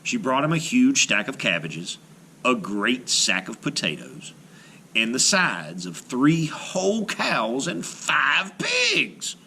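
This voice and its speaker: Male children's storyteller: mid-pitched, very lively narration, slight background noise. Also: slow pace. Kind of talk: expressive read-aloud folktale narration for children.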